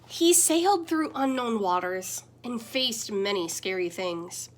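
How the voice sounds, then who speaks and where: Lady teacher doing a storybook read-aloud, lively sing-song voice, gentle. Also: takes it slowly. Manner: gentle storytelling with expressive swings in pitch and loudness. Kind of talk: picture book read-aloud.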